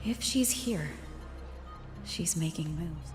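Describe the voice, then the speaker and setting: A reserved young woman hero from a video game. She speaks in a measured, serious way, weighing each thought. Her voice rings with heavy reverb over clear music. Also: deep voice, expressive, darkish tone. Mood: pensive, resolute.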